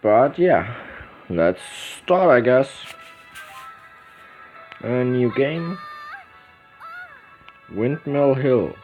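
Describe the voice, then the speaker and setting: A man from Germany with a German accent hosting a casual let's play, reading visual novel text aloud haltingly and correcting himself as he goes. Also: medium pitch, very animated. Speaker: gaming video host. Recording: dull lo-fi microphone, music behind.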